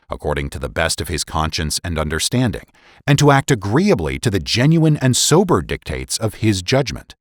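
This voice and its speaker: A man with a low-pitched voice gives a dramatic reading of formal historical prose. His intonation moves widely as he stresses phrases in a deliberate, oratorical way. The sound is dry and close, free of room echo. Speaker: podcast host and narrator.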